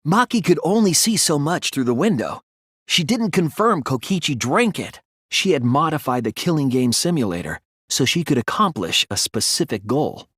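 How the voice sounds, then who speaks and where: A man voicing an earnest young male detective in a video game, thoughtful and anguished but resolute, with deliberate, analytical phrasing. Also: on the high side, sing-song, fairly bright tone, breathy edge, no room sound, clean studio sound, exaggerated voice. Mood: analytical, serious. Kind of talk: dramatic video game voice acting, deductive explanation.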